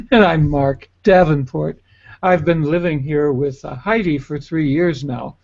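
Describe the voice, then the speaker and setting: A man's voice: a little high, slow, vocal fry, a little mellow, lo-fi sound. An American man joking and chatting as a guest on a video call from his home in Italy. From United States. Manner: talkative, joking. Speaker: guest, co-host's husband. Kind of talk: casual video call chat.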